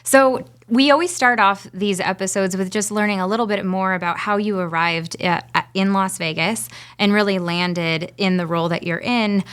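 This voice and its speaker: A woman hosting a business podcast, introducing her guest and asking friendly, brisk questions. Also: medium pitch, fairly even intonation, a bit bright, clean tone. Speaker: podcast host. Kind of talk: podcast interview. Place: small podcast studio.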